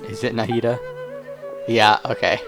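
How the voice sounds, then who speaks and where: A man casually reacting to a game playthrough with wry, halting, muttered asides. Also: medium pitch, little pitch movement, creaky. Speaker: gamer commenting on a playthrough. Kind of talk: casual let's-play reaction chat. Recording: game music behind the voice.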